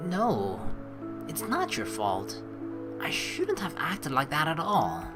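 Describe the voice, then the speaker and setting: A woman's voice: low voice, huge pitch swings, takes it slowly. A woman hosting a game playthrough, reading the text aloud and adding jokey, rambling casual commentary. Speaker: let's play host. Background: game music.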